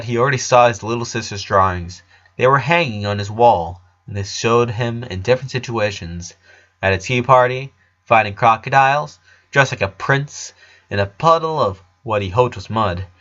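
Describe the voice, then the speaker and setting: Bright, forceful male story narrator. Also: mid-range voice, muffled recording.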